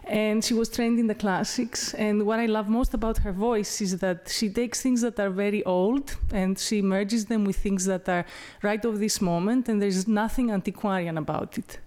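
A woman professor talks about a poem in an easy, explanatory way. Her pitch sits in the middle of the usual range. She restarts now and then and drops in small laughs. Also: steady tone, moderate pace, hardly any pauses, a little mellow. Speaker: professor of Byzantine history and classics. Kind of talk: informal talk introducing a poem.

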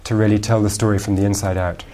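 Low-voiced English man with American tinge. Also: flat delivery, fast talker, mellow. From England. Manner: fluent but with frequent ums and repeated words. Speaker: guest author. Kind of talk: conversational interview answers.

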